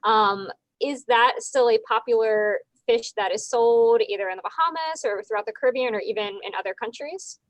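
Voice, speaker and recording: Higher-pitched woman co-host, dry sound. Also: normal speed, few pauses, no echo, poor audio. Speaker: co-host asking questions. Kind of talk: casual interview questioning.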